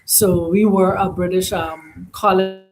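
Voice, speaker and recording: A woman from the Bahamas hosting an online channel, talking in an informal monologue and often pausing to search for words. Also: lowish voice, steady tone, darkish tone, slight background noise, low-quality recording.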